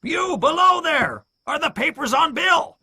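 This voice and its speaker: A man voicing a furious, menacing blind pirate leader who barks orders and curses in a strained, gravelly voice for a storybook. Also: extremely high pitch, animated, a little slow, sharp tone, dry recording, studio quality, pitched above the performer's own voice, heavily acted. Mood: impatient, angry. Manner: barking orders and cursing.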